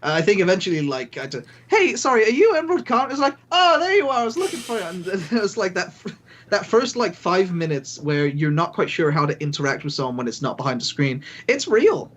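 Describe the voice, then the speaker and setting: A British man with a fairly high voice talks as a guest on a podcast. His delivery is casual and conversational, with halting, informal storytelling. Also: very animated, a bit bright. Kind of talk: casual chat.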